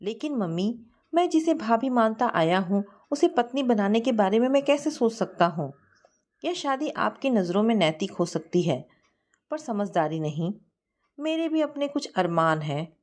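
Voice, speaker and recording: A woman's voice: on the high side, big pitch swings, moderate pace, a little mellow. A woman narrator voicing an earnest, troubled young man who protests against a marriage arranged for him. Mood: pleading.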